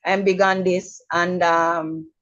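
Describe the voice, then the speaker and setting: Frank, slow-talking Jamaican woman. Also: on the low side, flat delivery, a bit bright, muffled recording. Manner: frank, repeats words, many ums. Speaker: webinar attendee. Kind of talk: casual remarks on a video call. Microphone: video call.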